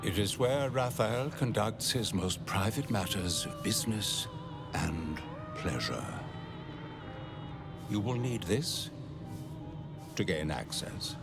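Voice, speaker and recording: A grovelling, lecherous servant of hell, low and gravelly, drawing out florid, courtly phrases. His delivery is theatrical and swoops with oily formality, as game music plays behind. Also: expressive, exaggerated voice. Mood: smooth, officious. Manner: fawning, florid, formal, drawn-out. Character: obsequious, lewd, broken-backed archivist of hell, male. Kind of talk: theatrical video game acting.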